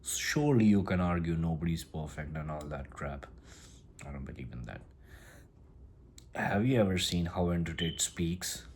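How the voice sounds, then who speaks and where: A man's voice: low-pitched, lively intonation, normal speed, darkish tone, slightly rough. A man who speaks English as a second language, talking through a casual motivational monologue and often repeating and restarting his phrases. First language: not English. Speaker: self-improvement vlogger.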